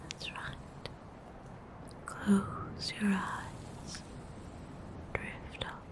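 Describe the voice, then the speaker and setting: A woman playing a tender, possessive vampire noble in a whispered ASMR roleplay, speaking in a breathy, soothing, intimate way. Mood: gentle, caring. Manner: soothing, slow, intimate coaxing.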